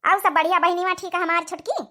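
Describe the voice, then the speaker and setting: A woman's voice: very high voice, fairly even intonation, quick pace, bright, forceful tone. A woman voicing a doting, practical village mother in a cartoon comedy, speaking coaxingly with an Awadhi accent. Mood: practical, hospitable. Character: doting middle-aged widowed village mother, practical, hospitable.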